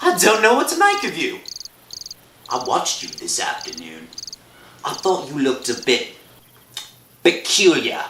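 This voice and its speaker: A man playing a gruff, suspicious cemetery caretaker who scolds in colloquial speech in a horror radio drama. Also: fairly high pitch, huge pitch swings, measured pace, pauses often, sharp tone, reverberant, recorded from a distance, slight background noise, pitched below the performer's own voice, exaggerated voice. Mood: suspicious, puzzled. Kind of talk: old-time horror radio drama acting.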